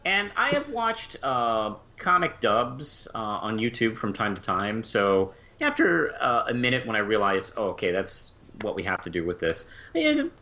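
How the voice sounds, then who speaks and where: A man co-hosting a casual review podcast, conversational and halting as he thinks aloud, on slightly lo-fi audio. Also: fairly low pitch, huge pitch swings, pushed and bright. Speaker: podcast co-host.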